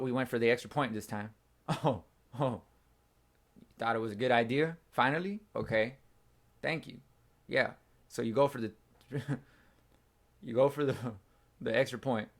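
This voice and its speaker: A young man hosting a casual sports reaction, excitable and slangy, with a hoarse, nearly lost voice from screaming. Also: mid-range voice. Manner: excitable, slangy, says bro a lot. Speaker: fan channel host. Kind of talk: casual post-game reaction.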